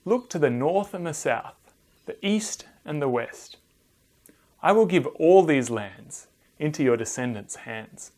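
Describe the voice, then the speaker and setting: A man voicing God as a solemn, commanding deity in a children's Bible story, speaking in measured, authoritative phrases. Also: fairly high pitch, animated, darkish tone. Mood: solemn, assuring.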